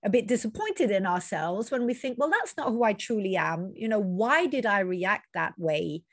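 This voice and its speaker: Husky-voiced woman podcast host. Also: mid-range voice, big pitch swings, moderate pace, no room sound. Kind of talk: podcast interview. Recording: dry close mic with faint music.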